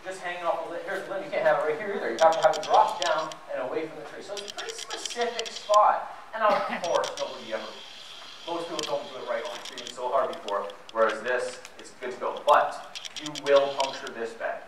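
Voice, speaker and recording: A man's voice: fairly high pitch, fast talker. A man giving an informal, emphatic, conversational talk to an audience about gear, as a presenter. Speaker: presenter at an outdoor gear show. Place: large darkened presentation room, recorded from the audience. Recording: distant, very echoey hall, noisy.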